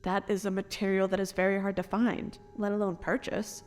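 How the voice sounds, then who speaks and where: A woman playing a wronged, grieving noble parent and reluctant assassin, earnest and formal, in a dark fantasy audio drama. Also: medium pitch, level tone, a little fast, soft background music, close to an everyday voice. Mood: wary, questioning.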